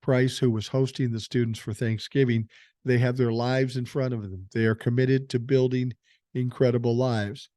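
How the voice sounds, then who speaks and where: Older man, blunt and profane, mellow voice, dry close sound. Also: medium pitch, level tone, moderate pace, no room sound. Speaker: podcast host. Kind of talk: political commentary, reading news aloud.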